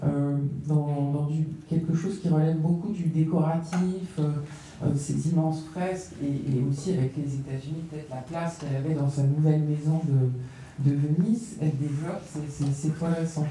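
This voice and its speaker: A woman's voice: deep voice, steady tone, normal speed, dark tone, slight background noise. Hesitant woman, far off in an echoey room. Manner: hesitant, many fillers and restarts. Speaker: panelist. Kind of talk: round-table discussion. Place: gallery room.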